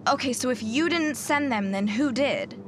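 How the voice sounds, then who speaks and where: A woman's voice: high-pitched, lively intonation, normal speed, background noise. An actress playing a frightened, defiant woman survivor in a horror audio drama. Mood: wary, confrontational.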